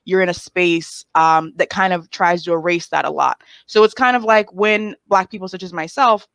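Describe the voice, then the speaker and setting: A woman comedian born in Haiti, chatting as a podcast guest in an animated, joking way with many "um"s. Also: fairly low pitch, moderate pace, gravelly, no room sound. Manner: animated, joking, lots of fillers like "um". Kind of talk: casual interview chat.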